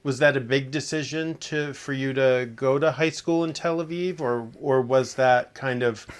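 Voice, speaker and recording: A man's voice: mid-range voice, fairly even intonation, moderate pace, clear, resonant tone. A man asking oral history questions as an interviewer, phrasing them carefully and tentatively.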